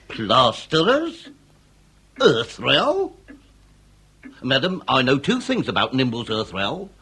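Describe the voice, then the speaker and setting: An actor playing a pompous, wordy Victorian police inspector with an English accent and theatrical, drawn-out emphasis, in a period comedy radio drama. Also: male voice, mid-range voice, huge pitch swings, sharp tone, husky, exaggerated voice. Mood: probing, emphatic. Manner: theatrical, orotund, drawn-out emphasis with occasional stammer.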